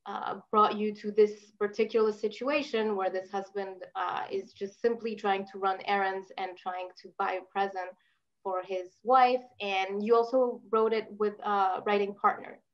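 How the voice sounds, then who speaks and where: A woman, a festival programmer, moderating a video call Q&A in a measured way with frequent fillers. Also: mid-range voice, fairly even intonation. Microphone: laptop webcam. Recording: dull lo-fi call audio.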